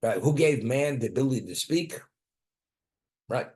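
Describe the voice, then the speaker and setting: A man's voice: mid-range voice, lively intonation, moderate pace, darkish tone. A man giving a Torah class who asks rhetorical questions and answers them, weaving Hebrew and Aramaic terms into his English and often restarting his words as he thinks aloud. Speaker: Torah teacher.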